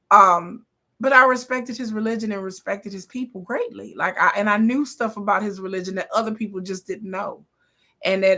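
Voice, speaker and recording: A woman's voice: mid-range voice, sharp tone. A woman from the northern United States, talking in a blunt, rambling, profane monologue as host of a commentary channel. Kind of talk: casual opinion monologue.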